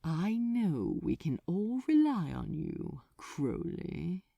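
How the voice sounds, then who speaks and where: A woman voicing a commanding male demon lord in an audiobook, solemn and grandiose, with a hissing, softly alluring delivery. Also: fairly low pitch, very animated, slow, creaky, no echo, full character voice.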